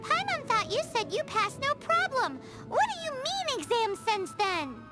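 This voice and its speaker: A squeaky fairy sidekick. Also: female voice, wildly swooping pitch, unhurried, heavily acted. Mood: puzzled. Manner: squeaky, cheeky, refers to herself by name. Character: tiny female fairy companion, cheeky and chatty. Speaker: game character. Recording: game audio with music behind.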